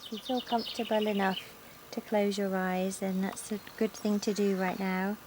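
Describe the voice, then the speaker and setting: A woman leading a guided yoga nidra relaxation in a calm, gentle, soothing manner with long pauses, recorded outdoors in a garden. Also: mid-range voice. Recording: outdoor recording with insect and nature noise. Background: insects, sounds of a sunny garden.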